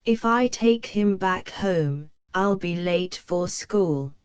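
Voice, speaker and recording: A woman reading a children's picture book aloud, slow and careful for young learners. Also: fairly low pitch, animated, clean tone, dry recording, dull audio. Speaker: storybook reader.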